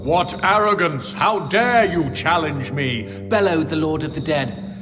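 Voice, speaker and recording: A man's voice: fairly high pitch, measured pace, lightly acted. A man playing a chatty, bawdy demon sidekick in a video game, with a theatrical storyteller's flourish. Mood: dramatic storytelling. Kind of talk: video game character acting. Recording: long hall reverb under game music.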